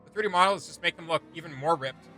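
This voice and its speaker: A man's voice: on the high side, quick pace, bright, forceful tone, soft background music. A man giving casual reaction commentary as a video host, thinking aloud in a rambling way with trailing asides.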